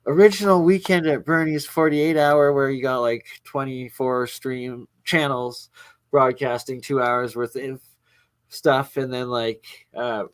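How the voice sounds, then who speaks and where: Late-thirties host, filler-heavy rambler, dry call audio. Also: male voice, medium pitch, level tone, measured pace, clean tone, dry recording. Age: 30s. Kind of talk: casual livestream chat.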